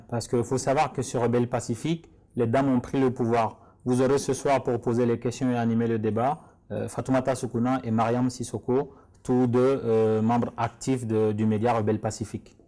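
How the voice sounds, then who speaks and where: A man's voice: mid-range voice, steady tone, a little fast, warm tone. A man acting as presenter, introducing a debate in a semi-formal spoken style.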